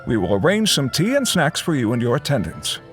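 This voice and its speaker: A man playing a courteous, dutiful clan attendant in a video game, with a gravelly voice and formal manner. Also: mid-range voice, huge pitch swings, brisk. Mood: welcoming. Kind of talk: English video game voice acting. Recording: background music under the voice.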